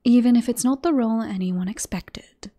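A teasing, self-assured queen, played by a woman in a romantic fantasy audio roleplay. Her voice sits a little high, yet it is mellow and husky with breath. She flirts in formal, courtly phrases. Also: moderate pace. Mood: earnest, tender.

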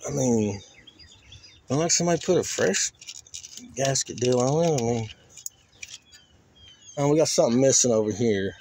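A man's voice: mid-range voice, lively intonation, normal speed, long pauses, a little mellow. A man chatting casually and colloquially as he hosts a rambling walk-around video, in a folksy manner, talking half to himself. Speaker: host of a car crushing vlog. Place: outdoors in a junkyard. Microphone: handheld phone or camera, operator behind it. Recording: faint outdoor noise, handheld camera audio.

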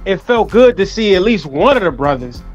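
Guy hosting over webcam, cracks jokes, swears a lot, kinda high voice. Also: sing-song, normal speed, dull audio, faint music behind. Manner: loose, joking, profane banter. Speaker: host. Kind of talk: casual interview chat. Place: room at home indoors. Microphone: webcam on a video call.

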